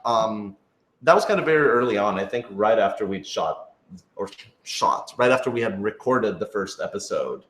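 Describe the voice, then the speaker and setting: Low-voiced man in an echoey room. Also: expressive, moderate pace, fairly bright tone. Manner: rambling, thinking aloud. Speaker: writer and producer of an audio drama. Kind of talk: casual Q&A panel chat. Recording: roomy, echoey room sound.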